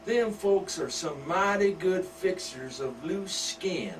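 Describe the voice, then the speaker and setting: A man voicing a crude, boastful middle-aged country wife with a loud, brassy drawl. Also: high voice, lively intonation, slow pace, faint music behind, pitched above the performer's own voice. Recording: distant mic with faint hum.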